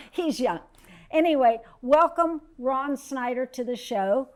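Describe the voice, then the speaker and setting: A woman's voice: sits high, lively intonation, slow pace. An older woman hosting a live interview in a casual, chatty style. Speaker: talk show host.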